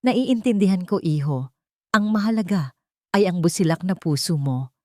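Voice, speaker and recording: A woman's voice: fairly low pitch, lively intonation, moderate pace, no echo, clean studio sound, close to an everyday voice. A woman voicing a kindly, grateful old woman who runs an orphanage, in an earnest storybook drama manner. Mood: understanding, tender.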